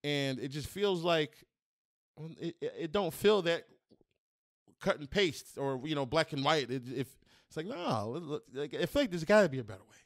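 A man's voice: on the high side, very animated, normal speed, no echo, studio quality. A man on a podcast, rambling loosely about his opinions in slangy speech. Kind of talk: casual political chat.

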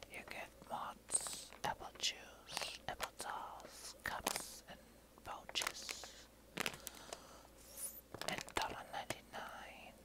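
A woman doing a whispered ASMR show and tell, reading aloud haltingly in short phrases. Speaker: ASMR video creator. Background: crumpling, crinkling paper.

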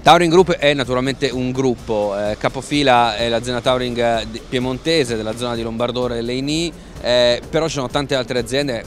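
A man of middling pitch puts questions to a guest at a trade fair, framing them in a chatty, leading way. Soft music sits faintly behind his voice. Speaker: interviewer.

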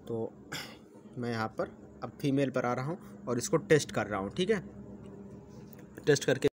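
A man talks viewers through things like a tech channel host. His voice sits at an ordinary middle pitch and his delivery is chatty and instructional. The homemade recording carries clear noise. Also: lively intonation, moderate pace. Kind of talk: presenter-style app tutorial to viewers. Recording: clearly noisy amateur recording.

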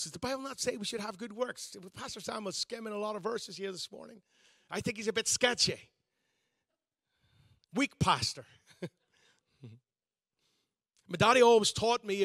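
A man's voice: high voice, sharp tone, no echo, clean studio sound. A man, a pastor giving a conversational, self-deprecating sermon with frequent restarts. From Northern Ireland.

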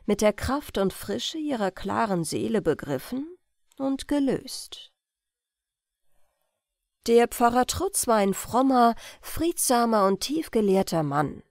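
A woman reading an old-fashioned family tale as an audiobook narrator, in carefully phrased, expressive storytelling. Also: medium pitch, dry recording, clean studio sound. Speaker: audiobook reader.

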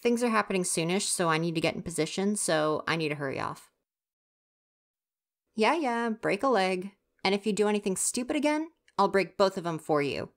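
A woman's voice: medium pitch, lively intonation, moderate pace, lightly acted. A young woman playing a grumpy, teasing but secretly caring theater stagehand in a casual ASMR roleplay, sarcastic and chatty. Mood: brisk, teasing. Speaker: roleplay voice performer. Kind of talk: ASMR roleplay, casual one-sided dialogue.

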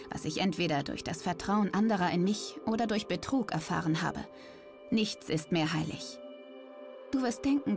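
An actress playing an earnest vigilante woman in an audio drama, speaking a grave, deliberate confession into her diary. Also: lowish voice, natural voice. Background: music.

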